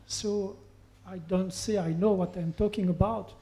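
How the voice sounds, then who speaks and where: A man from Belgium stands up from the audience to put a careful, drawn-out question to a panel. His voice is mellow and darkly toned, and he repeats key points for emphasis. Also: high voice, fairly even intonation. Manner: slow, deliberate, repeats for emphasis. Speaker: audience member asking a question. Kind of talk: formal question at a panel event.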